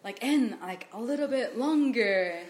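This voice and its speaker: A woman's voice: fairly high pitch, measured pace. A woman whose first language is Japanese, an interpreter and teacher, chatting casually in an interview with many "like" fillers and frequent laughs. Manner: relaxed, fillers like "like", laughs often. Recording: echoey room with faint noise.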